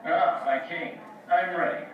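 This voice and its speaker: A man's voice: a little high, unhurried, lightly acted. A man playing a boastful, self-absorbed genius inventor in a children's puppet show, theatrical and self-assured. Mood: confident. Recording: echoey room, distant mic, dull, some noise.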